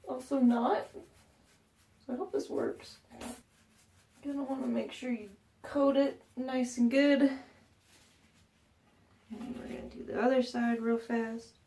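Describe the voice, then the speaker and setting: A woman's voice: high voice, warm tone. A woman vlogging a casual how-to from her bathroom, rambling with fillers and joking asides, a little stuffed up. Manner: chatty, rambling, frequent fillers and self-mocking asides. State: a bit stuffed up. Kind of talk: casual how-to vlog chat. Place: home bathroom.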